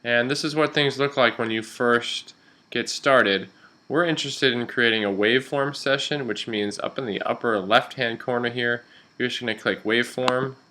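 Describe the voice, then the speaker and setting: A man working as a radio instructor, explaining software step by step in an informal, instructional way. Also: medium pitch, a little hiss or hum.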